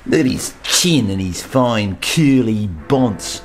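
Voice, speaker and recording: A man's voice: medium pitch, lively intonation, slow, slight background noise. An actor with an Australian accent playing a brash, profane bohemian man telling a swaggering yarn in a radio play. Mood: ranting yarn. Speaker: bohemian blues musician and storyteller.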